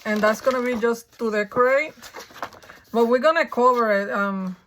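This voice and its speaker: A woman with a raspy, hoarse voice from a coming flu, chatting her way through a crafting tutorial and thinking aloud as she works. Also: fairly high pitch, moderate pace, a bit bright, a little hiss or hum. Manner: chatty, thinking aloud while working, frequent ums. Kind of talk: casual step-by-step crafting tutorial.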